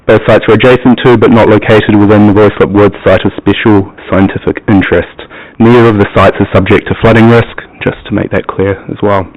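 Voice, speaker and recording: Low-voiced New Zealand man, hesitant. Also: fairly even intonation, brisk. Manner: hesitant, many ums, plain explanatory. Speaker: planning officer. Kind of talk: presenting a planning application. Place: council committee chamber. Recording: muffled, lo-fi broadcast audio.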